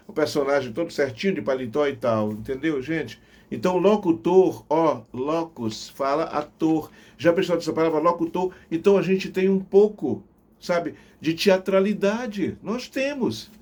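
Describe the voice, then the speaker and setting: Theatrical man, higher-pitched voice. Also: darkish tone. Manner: theatrical, emphatic storyteller with acted-out quotes. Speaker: veteran radio announcer telling career memories on his channel. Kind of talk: reminiscing monologue to viewers.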